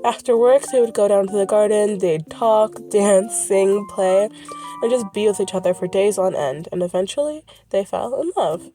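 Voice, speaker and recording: A woman's voice: medium pitch, moderate pace, dark tone, soft background music. A woman who is sick and sniffling, her voice congested, talking casually about her characters, often correcting herself and drifting off script. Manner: rambling, self-correcting, goes off script. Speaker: creator presenting her characters. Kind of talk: casual rambling vlog commentary.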